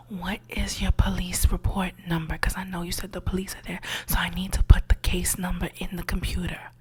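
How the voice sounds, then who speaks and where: A woman's voice: lowish voice, a bit bright, slightly rough. A woman playing a condescending female insurance claims agent in an ASMR roleplay, whispering in a patronizing way and calling the listener sweetie and honey.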